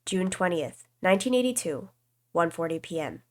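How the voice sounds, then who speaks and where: A woman streamer with a stuffy nose and a slight cough, reading a visual novel aloud in a calm, even way, with casual asides to her chat. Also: fairly low pitch, normal speed.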